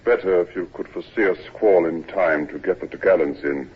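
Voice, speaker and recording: An actor playing an old, ailing, morose ship's captain with a British accent, gruff yet kindly, speaking haltingly in a 1950s radio drama. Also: male voice. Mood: gruff, dismissive. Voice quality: very deep, husky. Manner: halting, ponderous. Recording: muffled vintage 1950s radio recording.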